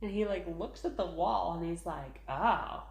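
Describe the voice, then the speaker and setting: A woman's voice: on the low side, expressive, measured pace, creaky. Chatty woman in an echoey room. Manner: chatty, many "like" fillers, laughs often. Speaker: podcast co-host, mother. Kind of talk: casual chat.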